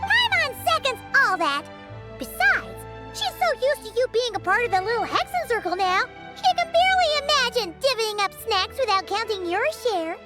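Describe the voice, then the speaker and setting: A tiny floating fairy girl with a very high, squeaky voice that bounces up and down. She is bubbly and chirpy, and she always calls herself by her own name. Game voice acting. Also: sing-song, moderate pace, over loud music. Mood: fond. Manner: talks of herself in third person, bubbly.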